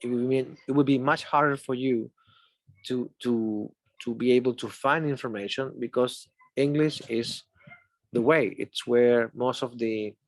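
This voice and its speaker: A man's voice: mid-range voice, fairly even intonation, measured pace, no echo, low-quality recording. A man from Chile with a Chilean accent, a native Spanish speaker and English teacher, interviewing conversationally and explaining things step by step with examples.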